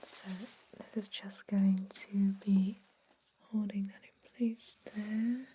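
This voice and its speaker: A woman voicing a gentle, reassuring vampire dentist in a soft, breathy whisper for an ASMR roleplay. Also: medium pitch, fairly even intonation. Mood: soothing, reassuring. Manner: soothing, reassuring, unhurried.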